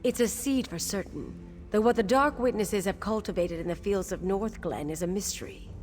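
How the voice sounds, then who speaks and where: A woman playing a stern, mysterious witch healer in a fantasy game, speaking in a commanding, formal, deliberate way. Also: medium pitch, darkish tone, soft background music, close to an everyday voice. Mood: stern, assured. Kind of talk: video game character acting.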